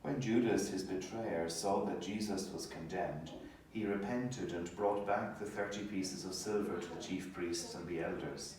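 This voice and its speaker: Deep-voiced man reading scripture, very echoey. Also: measured pace, a little mellow. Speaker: clergy narrator of a Gospel reading. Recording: echoey, distant, faint background noise. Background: passing hospital noise.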